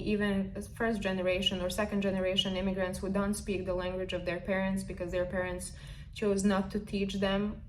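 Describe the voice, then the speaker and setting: A woman's voice: mid-range voice, a little hiss or hum, poor audio. A woman from Serbia with a Serbian accent, talking to camera in an earnest, chatty vlog with slightly non-native phrasing. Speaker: vlogger. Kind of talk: casual vlog monologue. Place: indoors, recording in the US.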